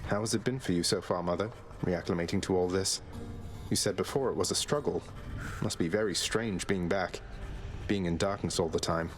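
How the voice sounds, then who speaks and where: An actor as a low-voiced, wry young prince. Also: male voice, moderate pace, soft background music, natural voice. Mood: thoughtful, easygoing. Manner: casual, dry, courteous. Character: wry young adult male prince of the underworld. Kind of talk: video game voice acting.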